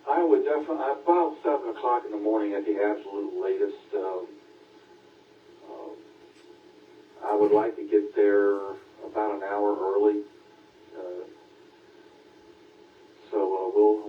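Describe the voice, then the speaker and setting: A middle-aged or older man chatting casually over two-way radio, halting and rambling with many hesitations. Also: fairly low pitch. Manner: halting, many long hesitations and filler words. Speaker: ham radio caller, long-haul trucker. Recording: tinny narrowband radio link, roomy, distant.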